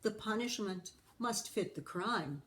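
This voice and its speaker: A woman voicing a wise old village witch in a storybook, measured and firm. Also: mid-range voice, animated, fairly bright tone. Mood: calming, firm.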